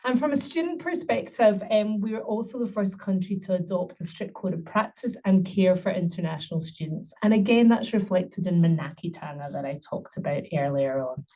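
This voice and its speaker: A woman's voice: mid-range voice, a little fast, few pauses, dark tone, far from the mic, rough recording. A middle-aged woman giving an upbeat university webinar presentation, promoting her city with frequent ums.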